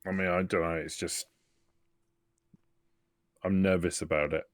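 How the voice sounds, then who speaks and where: Male player, deep dry voice, blunt grumbler, casual in-character chat. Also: flat delivery, unhurried, no echo. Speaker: tabletop roleplay player. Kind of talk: casual in-character game talk.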